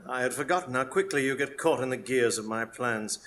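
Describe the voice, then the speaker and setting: Radio play villain: sinister male mastermind male actor, bright, cutting tone, mocking and condescending. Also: mid-range voice, a little fast, a little hiss or hum, lightly acted. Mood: taunting. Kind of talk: live radio drama acting.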